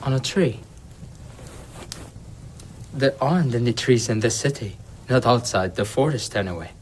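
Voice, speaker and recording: A man's voice: mid-range voice, lively intonation, moderate pace, lightly acted. An actor playing an enslaved young foreign man in an audio drama, defiant and questioning. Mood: wry. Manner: challenging, pointed. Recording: clear background noise.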